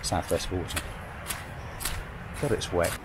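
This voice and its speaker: An older man chatting casually to a handheld camera while hiking outdoors, rambling and self-mocking. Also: sits low. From England. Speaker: hiking vlogger. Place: outdoors by a rushing river in woodland. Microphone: camera held at arm's length. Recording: loud rushing river noise behind. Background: roaring river water.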